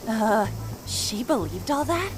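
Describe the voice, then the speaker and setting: A woman's voice: on the high side, big pitch swings, takes it slowly. A woman playing a candid, uneasy teenage girl in an English game dub. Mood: uneasy, doubtful. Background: music.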